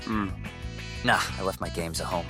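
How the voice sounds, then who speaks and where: A man's voice: low voice, normal speed, music in the background, close to an everyday voice. A man voicing a laid-back, spaced-out stoner raptor teenager with a drawling manner in a visual novel game. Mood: feigned cluelessness.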